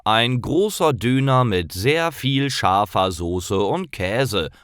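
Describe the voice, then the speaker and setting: A man voicing a friendly, solicitous kebab shop owner in clearly enunciated storybook dialogue for learners. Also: medium pitch, lively intonation, takes it slowly, a bit bright, dry recording, clean studio sound, voice pushed up. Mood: sympathetic. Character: friendly, caring kebab shop owner greeting his favourite regular.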